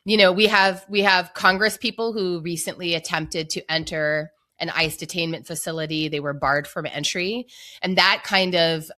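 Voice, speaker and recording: Interview guest: American woman, low but bright, forceful voice, deliberate conversational delivery. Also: fairly even intonation. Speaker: podcast guest, local organizer.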